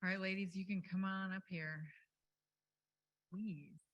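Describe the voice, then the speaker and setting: A woman hosting a workshop, making friendly, brisk announcements over a microphone. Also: mid-range voice, fairly even intonation, muffled recording. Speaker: event host. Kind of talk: event announcements. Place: conference room.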